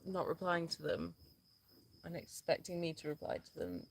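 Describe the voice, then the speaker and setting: Female co-host, casual and giggly, slightly low pitch. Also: normal speed, vocal fry. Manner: reads out viewer comments, laughs often. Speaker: sanctuary co-host. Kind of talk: casual livestream chat.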